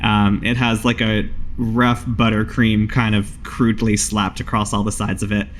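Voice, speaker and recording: A man's voice: lowish voice, flat delivery, moderate pace, slight background noise. Man narrating as game master. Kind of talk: casual improvised tabletop game narration.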